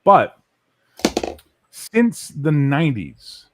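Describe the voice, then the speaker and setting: A man with a low voice talking in a casual podcast chat, ranting and swearing with strong opinions. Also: extremely slow, no room sound. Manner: animated, swearing, opinionated rants.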